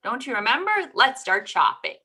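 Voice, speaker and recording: A woman's voice: on the high side, very animated, moderate pace, sharp tone, breathy edge, voice pushed up, exaggerated voice. A woman storyteller voicing an earnest, literal-minded husband, eager and simple, in a folk tale.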